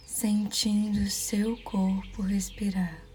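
A Brazilian woman leading a guided meditation in a soft, breathy near-whisper, calm and soothing, leaving measured pauses. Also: mid-range voice, slight background noise.

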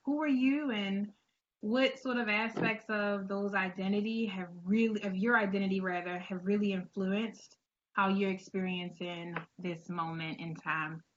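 A woman's voice: mid-range voice, steady tone, measured pace. Slightly lo-fi recording of an upbeat woman host, chatty interview style, encouraging. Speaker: show host. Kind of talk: conversational interview hosting.

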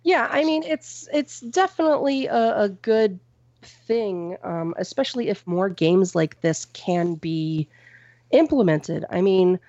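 A woman co-hosting a podcast from home on a boom-arm mic. She reads out a news story and then chats about it loosely, with plenty of "um" and "you know". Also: expressive, a little slow, mellow, no room sound, highs cut off. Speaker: podcast co-host reading news. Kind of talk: casual news chat. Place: at home with a cat nearby. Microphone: microphone on a boom arm.

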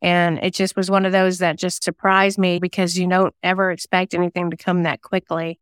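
Female interview guest, very clean smooth voice, chatty, dry close sound. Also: lowish voice, level tone, normal speed, dry recording. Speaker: interview guest, singer-songwriter and novelist. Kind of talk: casual interview chat.

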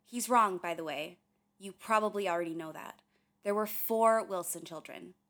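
A woman's voice: on the low side, normal speed, a bit bright. An actress in a radio play voicing an earnest, curious young woman, speaking in a candid, conversational way with wry touches. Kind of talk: radio play dialogue and recorded diary.

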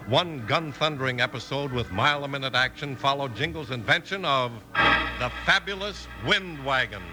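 A man's voice: medium pitch, lively intonation, moderate pace, over loud music, low-quality recording. An American man announcing in emphatic, polished 1950s radio style, reading scripted promotions and story narration.

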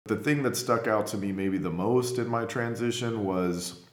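Deep-voiced reflective man in a roomy space. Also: moderate pace. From United States, Indiana. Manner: thoughtful, quiet, drops his volume when he reflects. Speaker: podcast host. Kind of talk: reflective storytelling. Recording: roomy echo with faint music.

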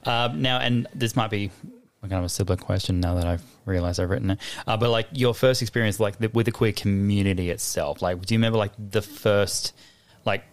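Male podcast host, fairly low voice, casual, rambling questions. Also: fairly quick, no echo. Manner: casual, laughs often, loose rambling questions.

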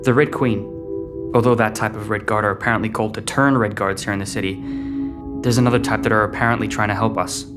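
A man's voice: on the low side, steady tone, fast talker, over music, close to an everyday voice. A man playing a troubled, short-tempered but protective teenage boy in a sci-fi audio drama, guarded and terse. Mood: guarded, explaining.

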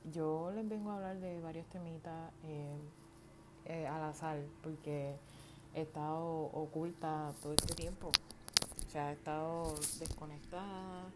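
A woman's voice: low voice, warm tone. A woman from Puerto Rico with a Puerto Rican Spanish accent, hosting a casual solo podcast in an informal, rambling way with slang and some English words. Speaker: podcast host. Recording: home recording with outdoor yard noise. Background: faint noise from outside.

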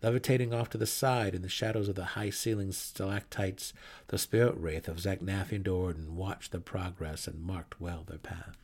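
A man's voice: deep voice, steady tone, moderate pace, a little mellow, slightly rough. A man reading a fantasy novel aloud as narrator, in a dramatic, scripted audiobook style.

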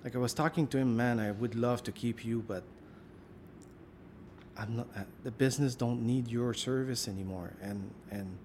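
A man's voice: medium pitch, steady tone, normal speed, a little mellow, a little hiss or hum. A man interviewing a guest in a casual chat, thinking aloud and filling his questions with "like". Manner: rambling, thinks aloud with many "like"s.

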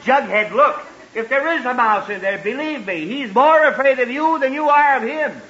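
Blustering, flustered radio sitcom dad. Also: male voice, extremely high pitch, animated, normal speed. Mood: shouting, annoyed. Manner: flustered, stammering, sputtering. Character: exasperated, blustering middle-aged suburban father. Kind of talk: 1940s radio comedy acting. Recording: noisy, muffled old 1940s radio recording.

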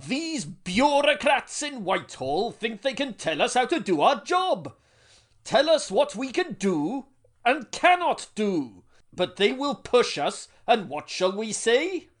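A man playing a pompous Welsh trade union leader giving a grand, booming speech in a comedy sketch. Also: sing-song, takes it slowly, full character voice. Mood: rousing. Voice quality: booming, grandiose rally oratory.

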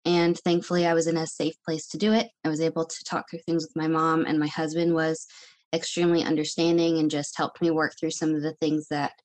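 A woman's voice: deep voice, flat delivery, brisk, a little mellow. Earnest woman in her thirties. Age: 30s. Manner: earnest, reflective. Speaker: guest. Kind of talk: personal testimony.

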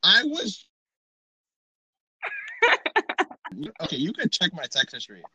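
Bright, lively young man hosting a podcast. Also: high voice, slow pace, slightly rough, no room sound, poor audio. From Dallas, Texas. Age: young adult. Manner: playful, joking, laughs often. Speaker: podcast host. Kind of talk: casual chat.

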